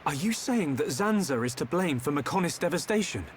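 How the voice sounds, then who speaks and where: Man as a troubled young game hero, earnest, high male voice, lively swoops, urgent, faint hiss. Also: fairly quick, natural voice. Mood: agitated. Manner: urgent, questioning. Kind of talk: scripted video game cutscene acting.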